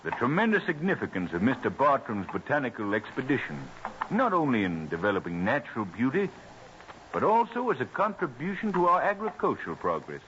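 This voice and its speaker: A dignified colonial statesman, genial and formal, lively pitch, muffled hissy old radio drama. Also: a little fast. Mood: earnest, stately. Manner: formal, eloquent, stately phrasing.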